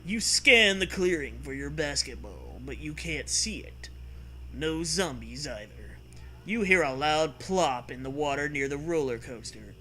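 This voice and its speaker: A man's voice: fairly high pitch, animated, a little slow, sharp tone, slight background noise, low-quality recording. A man co-hosting and reading a comic gamebook aloud, mixing narration with casual, irreverent banter. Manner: playful, irreverent.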